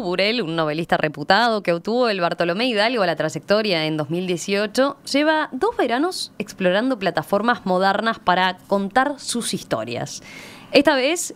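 A woman in her thirties with a Uruguayan accent, hosting a conversational radio interview at a close studio microphone. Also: medium pitch. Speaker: radio host. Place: small radio studio with windows. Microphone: close studio microphone on stand.

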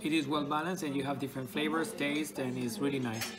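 A man's voice: medium pitch, fairly even intonation, fast talker. A man at a restaurant table describing the food in a jokey, talkative way, recorded on a phone held across the table. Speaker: birthday guest. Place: busy restaurant indoors. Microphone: phone held by someone across the table. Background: crowd chatter and cutlery.

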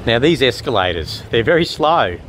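A man giving casual, unhurried walk-around commentary as a travel vlogger, chatting about what he observes. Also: mid-range voice, sing-song, a bit bright. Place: walking around an open-air shopping mall. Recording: outdoor urban and traffic noise.

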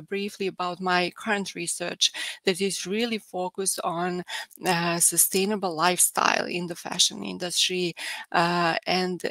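Young Serbian woman, lower voice, dry headset sound, halting online lecture. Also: sharp tone, dry recording. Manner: hesitant, many filler sounds. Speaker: guest lecturer. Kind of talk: online academic talk. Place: home room by video call.

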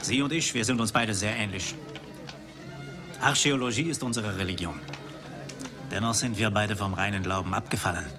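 An actor playing a suave, cynical rival archaeologist in a dubbed film, speaking in a polished, philosophical way. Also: male voice, on the low side, normal speed, long pauses, natural voice. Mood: suave, condescending. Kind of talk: dubbed 1980s film dialogue. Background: film music.

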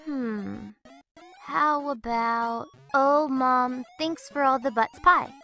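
Woman playing cheeky cartoon kid, high bright voice, silly drawn-out teasing. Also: soft background music, exaggerated voice. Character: cheeky, mischievous child joker. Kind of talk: comic dub cartoon acting.

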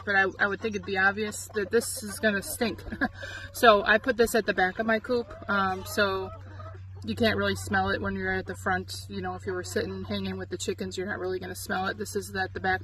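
A woman's voice: mid-range voice, level tone, brisk, a bit bright, poor audio. A middle-aged woman giving a chatty, informal how-to on a phone outdoors, explaining as she goes with some hesitation. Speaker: chicken keeper presenting a how-to. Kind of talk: casual chatty how-to vlog. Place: outdoors in a farmyard chicken run. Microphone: handheld phone at arm's length. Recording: outdoor phone audio with background noise. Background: chickens clucking, outdoor noise.

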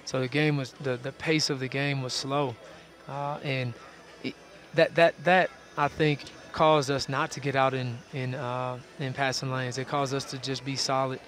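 A man in his forties, a head basketball coach, answering post-game questions courtside in an arena through a headset mic, in a steady way with frequent repeated words. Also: mid-range voice, measured pace. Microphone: headset boom mic.

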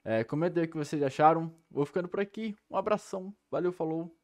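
A man's voice: a little high, fast, a little mellow, dry recording. Young Brazilian guy, dry close sound. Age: young adult. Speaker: reaction video host. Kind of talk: casual slangy commentary. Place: small room indoors. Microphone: headset mic.

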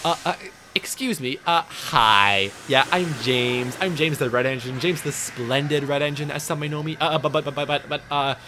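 A man voicing a vain, excitable red steam engine in a storybook tale, huffy and boastful. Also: on the high side, brisk, hardly any pauses, over loud music. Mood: flustered. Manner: indignant, boastful, flustered stammering.

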